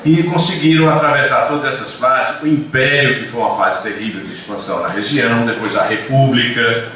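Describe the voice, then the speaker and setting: A man's voice: mid-range voice, fairly quick, pushed and bright, distant mic, slight background noise. An older man, a university professor, giving a discursive lecture with frequent hesitations and restarts. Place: lecture room. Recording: echoey classroom recorded from afar.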